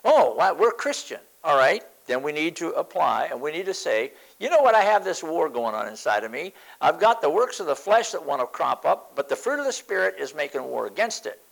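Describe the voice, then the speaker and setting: An older man in his seventies preaches with an emphatic, repeating style. His voice sits a little high and swings widely in pitch as he presses each point home. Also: very animated, fairly quick, creaky, hardly any pauses, rough recording. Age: 70s. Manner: emphatic, repeats phrases for stress. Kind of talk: sermon.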